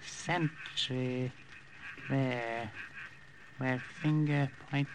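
An actor playing a terse, wary native jungle scout who speaks halting, broken English in an old radio drama. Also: male voice, medium pitch, fairly even intonation. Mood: hushed, wary. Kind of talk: 1950s radio drama acting. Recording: old noisy radio recording.

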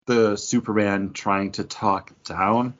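A man's voice: fairly low pitch, fairly even intonation, takes it slowly, a bit bright. A man co-hosting a podcast, bantering and reviewing in a casual, conversational way. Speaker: podcast co-host. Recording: dull lo-fi sound.

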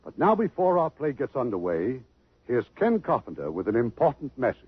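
A man's voice: mid-range voice, huge pitch swings, fairly quick, breathy edge, no echo. Genial old-time radio host, man. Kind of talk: 1950s radio introduction and narration. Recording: muffled old radio broadcast with music.

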